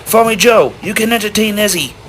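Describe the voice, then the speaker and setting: A man's voice: sits high, quick pace, a bit bright, slight background noise. A man voicing an eager, over-polite cartoon sea pony porter with a formal, stilted sales delivery. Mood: eager, promotional. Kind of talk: animated cartoon voice acting.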